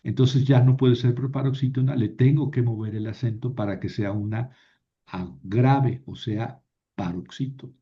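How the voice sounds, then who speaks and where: Man, grammar teacher, steady mid voice, asks and answers his own questions; muffled recording. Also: medium pitch, moderate pace. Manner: patient, explains step by step and repeats key rules. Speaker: Greek teacher. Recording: dull lo-fi sound.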